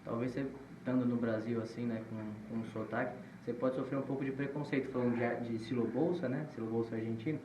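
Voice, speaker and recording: Co-host: a quick, chatty man recorded off-mic, with muffled sound. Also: mid-range voice, fairly even intonation, noisy background. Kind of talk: podcast interview. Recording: distant, roomy, muffled.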